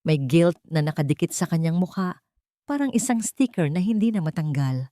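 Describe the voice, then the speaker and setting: A woman's voice: lowish voice, expressive, normal speed, mellow, dry recording, clean studio sound. A woman reading a scripted first-person love drama as a dramatic storyteller. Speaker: story narrator.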